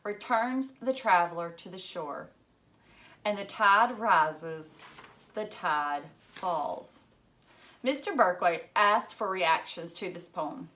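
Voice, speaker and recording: A woman teacher reading a story aloud to children in an expressive way, emphasizing key words. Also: on the low side, takes it slowly.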